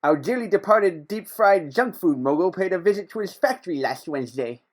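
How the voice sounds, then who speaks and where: A man's voice: high-pitched, expressive, a little fast. An actor playing a male detective's partner in a noir audio drama, casual, sardonic and wisecracking. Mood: wry, matter-of-fact.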